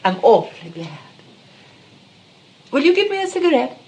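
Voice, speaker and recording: A woman's voice: sits high, sing-song, moderate pace, darkish tone. A woman playing a vain, middle-aged English retired actress in an affected, coquettish stage comedy manner. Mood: flirtatious. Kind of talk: stage comedy acting, 1920s drawing-room manner.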